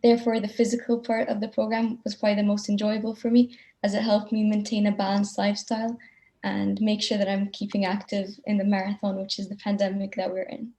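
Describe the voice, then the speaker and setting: Teenage girl, flat and formal. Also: medium pitch, fairly quick. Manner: formal, prepared-sounding. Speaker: panelist. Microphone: video call.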